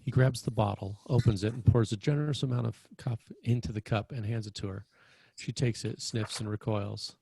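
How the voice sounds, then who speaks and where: A man with a lowish voice reads screenplay action as scripted narration. The sound is close and dry, with stage directions delivered as a narrator at a table read. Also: steady tone, fairly quick, gravelly, dark tone, husky, no room sound, rough recording.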